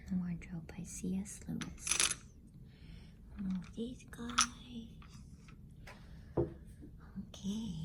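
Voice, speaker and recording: A woman whispering softly and breathily in a gentle, unhurried ASMR bedtime style. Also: on the low side, gravelly, background noise. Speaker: host and storybook reader.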